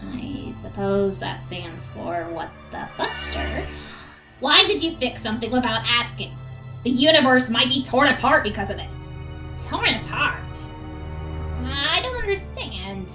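A woman's voice: a little high, sing-song, a little slow, sharp tone, exaggerated voice. A woman voicing a brash, fretful little alien technician in a cartoon, pedantic and exclamatory. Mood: baffled, pedantic. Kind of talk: cartoon character acting. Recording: roomy, distant mic with music.

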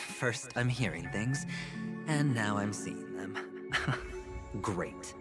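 A young video game gambler with a low voice and a slow, deliberate delivery. He is theatrical and sardonic, a weary cynic coming apart, with music prominent behind him. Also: expressive. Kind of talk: dramatic video game voice acting.

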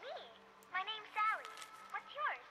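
A woman's voice: extremely high pitch, sing-song, measured pace, background noise, full character voice. A woman playing a sweet, friendly little girl in an old-time radio drama, with an innocent, singsong manner. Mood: sweet, curious.

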